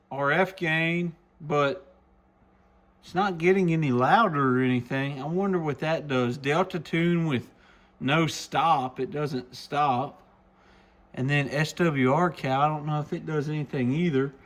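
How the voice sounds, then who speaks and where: Folksy man with a higher-pitched voice. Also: a little slow. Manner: folksy, enthusiastic. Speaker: radio shop presenter. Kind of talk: casual product demo.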